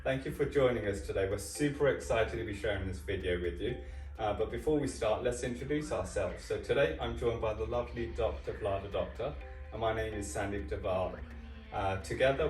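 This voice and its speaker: A man's voice: fairly low pitch, fairly quick, a little mellow. A man hosting a casual interview, upbeat and playful, with some filler ums. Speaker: host, co-founder. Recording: roomy sound from a distant mic with music.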